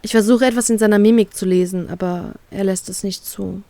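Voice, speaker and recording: A woman's voice: medium pitch, steady tone, a little fast, vocal fry, warm tone, no room sound. A woman reading a first-person fan fiction story aloud, voicing a heartbroken inner monologue.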